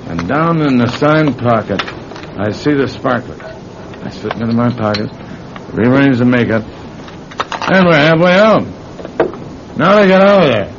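A man acting in an old-time radio drama as a sly thief posing as a folksy, fatherly priest, with hesitant, chuckling delivery. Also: close to an everyday voice. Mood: sly, gloating. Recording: muffled, noisy old radio recording with rumble.

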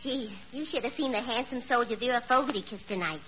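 A woman's voice: on the high side, fast talker, fairly bright tone, lightly acted. An actress playing a dim, man-hungry, chatty young woman in a 1940s radio comedy, stating silly logic matter-of-factly. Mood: breezy, naive. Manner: naive, matter-of-fact illogic. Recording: dull, hissy 1940s radio recording.

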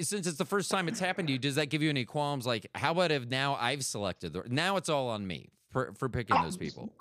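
Smooth-voiced middle-aged podcast host. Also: male voice, medium pitch, a bit bright, no room sound, clean studio sound. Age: late 40s to 50s. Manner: reflective, halting questions. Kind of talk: casual interview chat.

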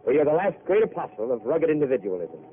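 An actor playing a weary, eloquent intellectual who makes theatrical speeches, in old radio drama. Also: male voice, mid-range voice, very animated, fast, lightly acted. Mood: wistful. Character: weary poetic intellectual man, wistful and eloquent. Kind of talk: 1930s radio drama acting. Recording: tinny old broadcast with hiss.

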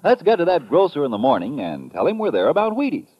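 A man's voice: on the high side, wildly swooping pitch, quick pace, slightly rough. A man with an American accent reading a 1950s radio commercial as a folksy announcer. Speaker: announcer and sponsor pitchman. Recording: dull vintage radio recording.

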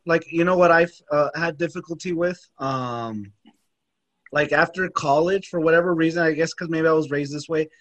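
A young man in a casual podcast chat, reflecting on his own habits in a hesitant way full of fillers. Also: on the high side.

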